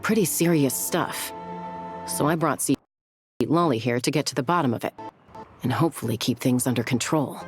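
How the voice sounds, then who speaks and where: A woman's voice: a bass voice, normal speed, pauses often. A woman voicing a laid-back, practical peacekeeper in a video game, speaking in an easygoing, matter-of-fact way. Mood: casual, explanatory. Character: cool-headed, casual female peacekeeper, laid-back and practical. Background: music.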